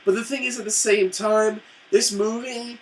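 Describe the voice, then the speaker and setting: Young male co-host, high bright voice, profane wisecracks, slightly off-mic. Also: steady tone, moderate pace, recorded from a distance, slight background noise, low-quality recording. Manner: wisecracking, profane, fond of "and whatnot". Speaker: co-host movie reviewer. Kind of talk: casual banter.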